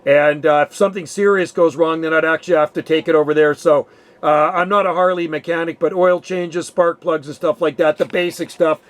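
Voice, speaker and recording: A man's voice: a little high, steady tone, fairly quick. A man with a Canadian accent chatting casually and informally as an amateur radio operator.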